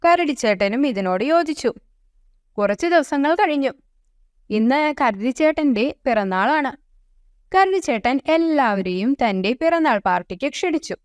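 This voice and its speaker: A woman narrates a children's tale in a high, smooth voice, recorded very dry and close. Her delivery is scripted storytelling aimed at young listeners. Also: animated, unhurried, no echo.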